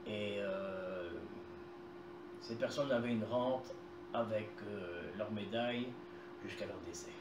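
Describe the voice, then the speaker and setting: A man's voice: lowish voice, slow pace, pauses often, far from the mic. Man in a noisy, echoey room. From Belgium, Tournai area. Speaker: interview guest, genealogist and author. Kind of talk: casual interview answers. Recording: echoey room, clear background noise.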